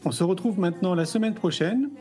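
Mellow male podcast host over guitar music. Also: sits high, brisk. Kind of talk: scripted podcast outro. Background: plucked guitar music.

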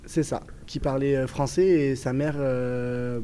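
A man's voice: medium pitch, steady tone, unhurried, dark tone, slight background noise. Hesitant young man with a southern French accent. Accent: southern French, Toulouse area. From southern France. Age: 20s. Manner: hesitant, many fillers and restarts. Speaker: guest. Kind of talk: casual interview conversation.

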